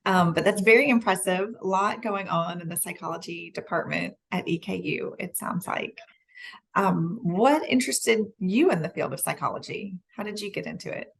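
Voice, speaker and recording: A woman's voice: lowish voice, moderate pace, gravelly, husky. A woman hosting a conversational interview. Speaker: interview host.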